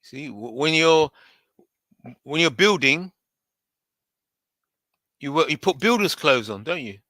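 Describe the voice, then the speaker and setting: A man with a hoarse, worn voice speaks slowly, pitched a little high. His tone is bright and forward, and the sound is dry. He rambles and restarts often. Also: lively intonation, no room sound. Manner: rambling, repeats himself, many restarts. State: hoarse, voice going. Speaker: livestream host. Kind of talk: casual live chat.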